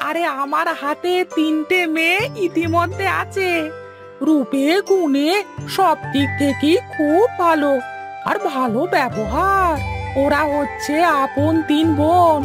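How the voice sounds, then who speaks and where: A woman's voice: extremely high pitch, takes it slowly. A woman voicing a chatty, eager village shopkeeper and matchmaker in a cartoon, with a teasing, obliging manner. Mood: cheerful, reassuring. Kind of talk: animated cartoon dialogue. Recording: loud background music.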